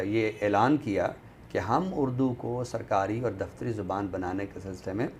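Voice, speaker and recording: Deliberate Pakistani man, some background noise. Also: fairly low pitch, darkish tone. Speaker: guest commentator on a literary show. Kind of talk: thoughtful spoken discussion.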